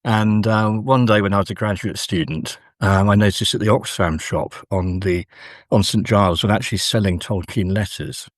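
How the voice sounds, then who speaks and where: A middle-aged male academic answering in a conversational interview, speaking haltingly with frequent pauses. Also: lowish voice, fairly even intonation, dry recording, clean studio sound. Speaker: guest academic, university professor.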